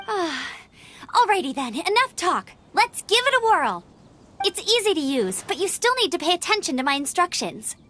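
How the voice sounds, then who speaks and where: A woman voicing an earnest, upbeat young female knight scout in an animated video game, slightly formal and eager to help. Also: extremely high pitch, normal speed, slight background noise, exaggerated voice. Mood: eager, cheerful. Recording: music playing under the voice.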